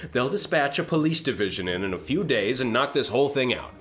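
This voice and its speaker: Man acting a sarcastic space captain, blustering, pompous, very animated intonation, comic sci-fi radio drama. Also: medium pitch, fast talker, lightly acted. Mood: sarcastic, impatient. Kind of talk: comic science fiction radio play.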